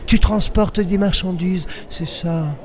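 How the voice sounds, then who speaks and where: A man playing a sly, mocking alien crime boss in an audio drama, with theatrical, drawn-out phrasing. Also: high-pitched, fairly even intonation, background noise. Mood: indignant, scornful. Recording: music behind the voice. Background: dramatic music.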